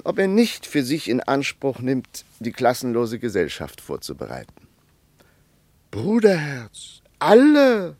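An argumentative traveller in a radio play, ironic and emphatic. His fairly high voice leaps dramatically up and down as he lectures, recorded close and dry. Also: dry recording, heavily acted. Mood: mock-hearty. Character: argumentative male traveller, ironic debater skeptical of ideals. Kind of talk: German radio play dialogue.

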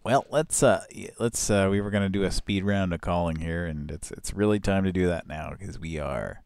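A man hosting a live show in casual chat, with a gravelly voice and a rambling, laugh-prone way of talking. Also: moderate pace, dry recording. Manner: rambling, laughs often, animated swings in pitch.

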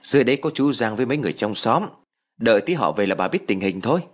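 Narrator as worried village man, animated pitch. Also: close to an everyday voice. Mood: concerned, explaining. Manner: plain-spoken, hurried explaining. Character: younger village neighbour man, earnest and worried.

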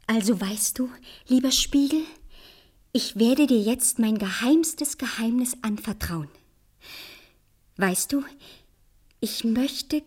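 High-voiced, vain young queen. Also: a little mellow, husky. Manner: haughty, imperious, dramatic. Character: vain, bossy, dreamy young fairy-tale queen. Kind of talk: theatrical radio play.

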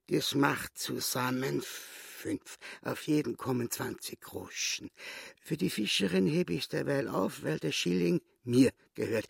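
An actor with an Austrian accent playing a sly, boastful small-time swindler in a radio play, theatrical, gloating and mock-courteous. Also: male voice, on the high side, vocal fry, slightly rough, no echo, exaggerated voice.

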